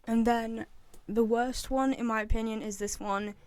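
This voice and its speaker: A woman's voice: on the high side, steady tone, moderate pace, crowd noise. A young woman giving casual, opinionated commentary in a chatty, emphatic way. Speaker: video host, game fan.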